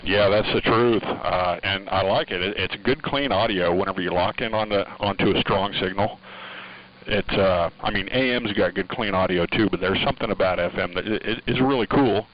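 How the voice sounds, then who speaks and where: A man's voice: sits low, moderate pace. A man talking casually over two-way radio, easygoing and agreeable, using operator lingo. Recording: dull band-limited radio transmission.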